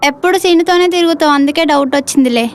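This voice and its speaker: A woman's voice: high-pitched, rapid-fire, noisy background. An actress playing a blunt, pert young woman who challenges others in a comedy audio drama. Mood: pert, curious. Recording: music behind the voice.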